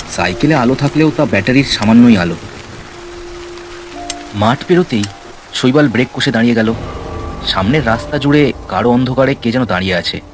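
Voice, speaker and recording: A man reading a scripted horror story as narrator, in storytelling style. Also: mid-range voice. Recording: rain and ambience behind the voice. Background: rain sound effects.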